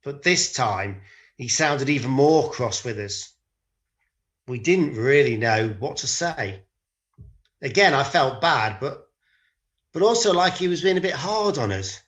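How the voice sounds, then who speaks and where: A man's voice: medium pitch, expressive, unhurried, long pauses, fairly bright tone. A man playing a tired, defensive disciple who recounts a night in a hesitant, colloquial, confessional monologue. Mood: rueful, tired. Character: weary disciple, sheepish, defensive, mildly resentful, recounting a night. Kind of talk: dramatic monologue in conversational modern speech.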